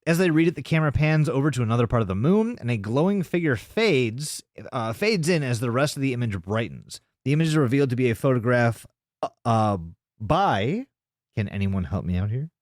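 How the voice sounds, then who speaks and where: A man's voice: medium pitch, expressive, brisk, no room sound, clean studio sound. A man hosting a podcast, casually recounting a video in informal, sweary language. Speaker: podcast host.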